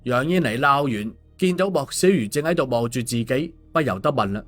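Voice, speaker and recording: A male storyteller narrates a scripted audiobook. His delivery is colloquial and his pitch sits in the middle range. Faint music plays behind him. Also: expressive, brisk, a bit bright. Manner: colloquial, with sentence-final particles.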